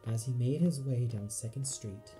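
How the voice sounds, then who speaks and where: A man's voice: medium pitch, normal speed, over music. A man narrating an audio play in a solemn, theatrical, scripted style.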